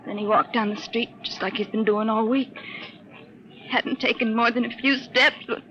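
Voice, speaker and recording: Distraught nursemaid, played by an actress, high tearful voice, halting and pleading, noisy vintage radio recording. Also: female voice, steady tone, muffled recording, close to an everyday voice. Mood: shaken. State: tearful, hysterical. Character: distraught young nursemaid, shaken eyewitness. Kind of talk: 1950s radio drama acting.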